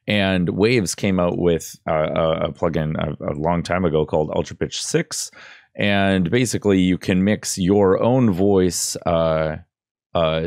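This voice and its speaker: A man in his thirties with a low voice, giving a casual, rambling, halting tutorial into a close studio mic. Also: a little mellow, no room sound. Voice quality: relatively low voice. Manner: informal, rambling, halting explanations. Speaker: tutorial presenter. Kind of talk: casual screen-recorded tutorial. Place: indoor desk setup. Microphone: close boom-arm studio mic, headphones.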